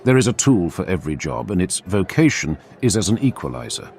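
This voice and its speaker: A man voicing a proud, calculating superhuman warrior with a measured, formal delivery in a fantasy audiobook. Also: low voice, sing-song, darkish tone, faint music behind. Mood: grave, reflective.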